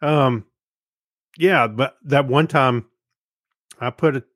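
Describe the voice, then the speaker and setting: A man's voice: medium pitch, slow pace, fairly bright tone, dry recording. Rambling middle-aged man, close dry sound. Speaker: podcast co-host. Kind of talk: casual chat.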